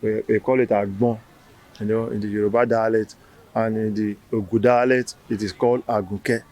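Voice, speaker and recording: Nigerian man, halting, full of fillers. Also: lowish voice, little pitch movement, a little mellow. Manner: hesitant, many fillers and restarts. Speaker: festival founder. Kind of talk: interview answer.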